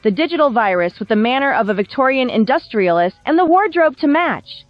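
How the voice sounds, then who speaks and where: A woman's voice: a little high, no echo, highs cut off. A woman narrating a scripted documentary with wry, polished storytelling. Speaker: video essay narrator.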